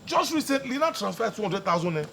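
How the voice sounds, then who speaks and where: A hot-tempered Nigerian husband in a screen drama. His voice is high for a man and swings widely as he hammers his points by repeating them. Faint noise sits behind the location recording. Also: sing-song, quick pace, vocal fry, bright, forceful tone, exaggerated voice. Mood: disgusted, venting. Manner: emphatic, repeats himself, mixes English with local language. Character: hot-tempered husband venting to his friend about women.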